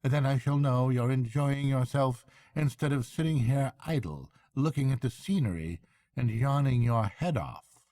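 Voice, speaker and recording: A man's voice: mid-range voice, measured pace, dark tone, no echo, clean studio sound, pitched above the performer's own voice, natural voice. A man voicing a hearty, shrewd barge woman in a storybook reading, chatty and falsely respectful. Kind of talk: storybook character voice.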